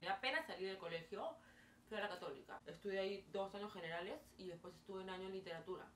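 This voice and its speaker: A woman in her twenties from Peru with a Peruvian Spanish accent, casually telling her story to camera with lively hand gestures and many fillers. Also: medium pitch, fast. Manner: chatty, animated hand gestures, frequent fillers. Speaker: vlogger. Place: small bedroom, indoors. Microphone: camera mic at a distance. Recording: roomy, distant, faint hiss.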